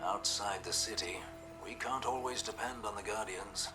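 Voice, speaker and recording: A man plays a steady, sincere leader in a video game. His voice is fairly low and measured, set slightly back from the mic. Loud music runs behind him. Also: far from the mic, natural voice. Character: earnest, steady human protector and leader.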